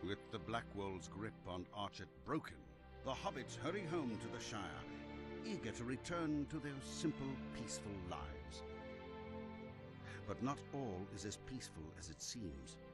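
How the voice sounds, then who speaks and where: An actor playing a grave old wizard who narrates a fantasy game in a solemn, measured, portentous way. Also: male voice, on the low side, big pitch swings. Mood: solemn storytelling. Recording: loud music behind the voice. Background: loud music.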